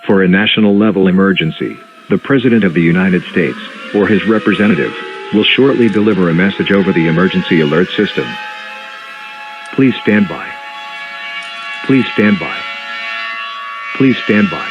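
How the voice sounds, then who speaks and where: A man playing a solemn official emergency alert announcer, speaking in a formal, measured way. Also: deep voice, muffled recording, background noise, natural voice.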